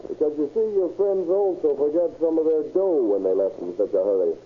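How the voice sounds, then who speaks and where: An actor playing a dogged, wry police lieutenant who questions with calm, dry, needling patience in an old radio crime drama. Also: male voice, a little high, brisk. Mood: pressing, confident. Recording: scratchy, tinny old radio recording.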